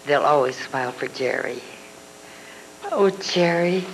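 A woman's voice: low-pitched, unhurried, rough recording. An older woman playing Liz, a heartfelt daughter. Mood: tender. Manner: heartfelt, dramatic, soft. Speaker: actress in a live reader's theatre. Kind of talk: live old-time radio drama reading. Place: hotel ballroom stage. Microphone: far camera. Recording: audience video in a ballroom, hum.

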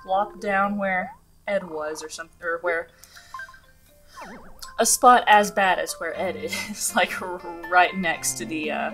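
Young woman chatting thru her game, bit scratchy morning voice, giggles a lot, cozy game music loud behind her. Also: fairly low pitch, takes it slowly. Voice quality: chatty, giggly, many fillers and restarts. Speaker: gaming channel host. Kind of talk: casual let's-play commentary.

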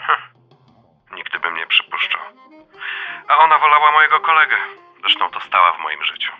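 An actor playing a blunt, wry middle-aged son in a radio drama, speaking colloquial with a dryly teasing manner. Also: male voice, medium pitch, very animated, moderate pace, narrow, tinny audio, faint music behind, natural voice. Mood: reflective. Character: blunt, wry adult son, uneasy new father. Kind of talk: radio drama dialogue, phone conversation.

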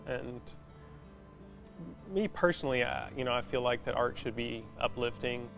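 A man in his forties, a metal artist, talking reflectively and haltingly in a documentary interview, with lots of casual fillers, on a lapel mic. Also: medium pitch, steady tone, vocal fry. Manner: halting, thoughtful, filler-heavy casual speech with many "you know"s. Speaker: metal sculptor being interviewed. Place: large indoor metal workshop. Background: instrumental music.

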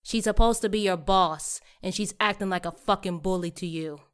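A woman's voice: medium pitch, moderate pace, clean tone, natural voice. A woman playing a blunt, caring young coworker in an audio drama, talking casually and reassuringly. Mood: indignant.

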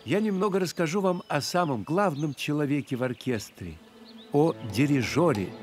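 Man voicing a measured, theatrical grasshopper conductor. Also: mid-range voice, natural voice. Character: elegant grasshopper orchestra conductor, kindly patient teacher. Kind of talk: children's cartoon narration.

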